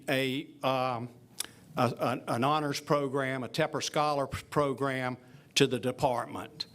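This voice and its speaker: A man on a university faculty giving a ceremonial speech, telling a story with some halting fillers and restarts. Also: mid-range voice, fairly bright tone.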